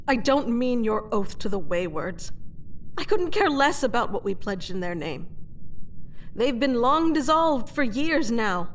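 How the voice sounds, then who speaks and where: A woman playing a proud, reckless captured warrior queen who is wounded and secretly in love, in a theatrical, halting fantasy audio roleplay. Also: on the high side, big pitch swings, slight background noise. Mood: hurt, pleading. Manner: theatrical, halting, emotional delivery. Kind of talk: dramatic fantasy audio roleplay addressed to the listener.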